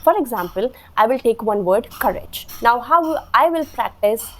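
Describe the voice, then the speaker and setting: A woman's voice: fairly high pitch, expressive, normal speed, a little hiss or hum, rough recording. A young woman in her twenties whose first language is Hindi, speaking English with an Indian accent and earnestly explaining vocabulary tips to camera, repeating phrases for emphasis. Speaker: English teacher, channel host. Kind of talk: instructional talk to camera. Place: small room indoors, slatted wall.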